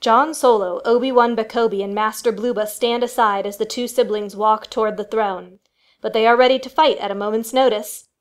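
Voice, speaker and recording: A woman's voice: on the high side, fairly even intonation, fairly quick. A woman reading scripted, dramatic narration for a family radio play.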